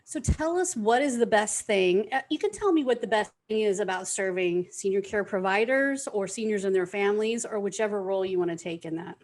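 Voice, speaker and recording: A woman's voice: mid-range voice, a little fast, few pauses. An American woman hosting a podcast interview, chatty and effusive as she asks questions. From United States. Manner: chatty, effusive, self-deprecating. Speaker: podcast host.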